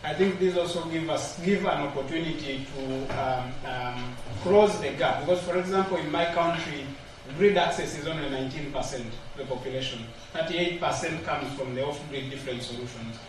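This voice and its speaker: A man's voice: fairly high pitch, fairly quick. A man giving unscripted remarks as a conference panelist, hesitant and repeating words as he goes. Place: conference event room. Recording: very echoey hall, distant mic, noisy.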